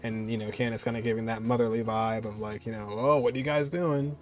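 A man's voice: medium pitch, flat delivery, fast talker. A man, giving informal, conversational reaction commentary. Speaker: reaction video host. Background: music.

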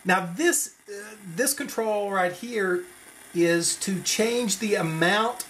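A man's voice: sits high, slow pace, a bit bright, slight background noise, rough recording. Man explaining an electronics build, thinking aloud. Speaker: electronics tutorial presenter. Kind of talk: informal hands-on demonstration. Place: at a desk indoors.

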